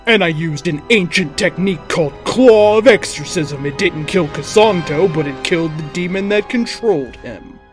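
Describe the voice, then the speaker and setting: A man's voice: high-pitched, normal speed, hardly any pauses. A man reading a story aloud as a dramatic narrator. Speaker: host reading fan fiction aloud. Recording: music under the voice.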